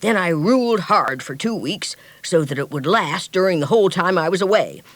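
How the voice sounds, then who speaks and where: A woman voicing a jolly, boastful, stout sea captain father in a storybook reading, with a hearty, booming manner. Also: animated, normal speed, no room sound.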